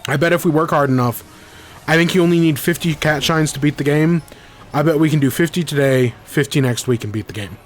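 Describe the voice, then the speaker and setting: A man's voice: fairly high pitch, a little fast. A young man hosting a gaming podcast in a casual, rambling way, congested from a cold with a scratchy throat. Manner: rambling, self-correcting. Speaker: podcast host. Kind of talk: casual livestream chat.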